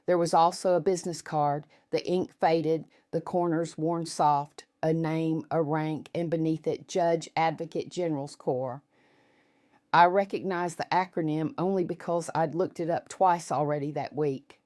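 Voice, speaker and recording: A woman's voice: low-pitched, fairly even intonation, measured pace, darkish tone, no echo, clean studio sound. A woman reading a scripted first-person story as its narrator.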